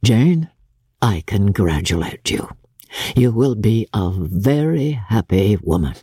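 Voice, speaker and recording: A woman voicing a kindly older gentleman father in a classic novel reading, measured, with dry, teasing wit. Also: rumbling low, very animated, husky, no room sound, pitched below the performer's own voice, heavily acted. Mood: tender. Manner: measured, dryly witty.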